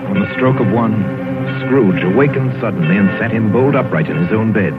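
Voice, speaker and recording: A man's voice: lowish voice, a little fast. A man narrating a story in a sonorous, dramatic 1930s radio style. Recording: tinny 1930s radio recording, music behind.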